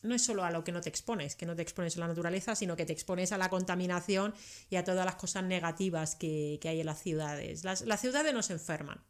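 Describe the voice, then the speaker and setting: Lower-pitched Finnish woman explaining health topics. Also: fast talker. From Karelia, southeastern Finland. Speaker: interview guest, doctor and science communicator. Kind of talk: conversational expert explanation.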